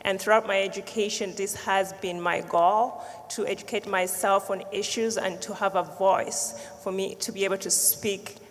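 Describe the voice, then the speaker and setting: A woman's voice: mid-range voice, steady tone, moderate pace, few pauses. A woman from Uganda with a Ugandan accent, speaking passionately and emphatically as a panelist. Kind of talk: earnest panel talk. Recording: long reverb of a large hall.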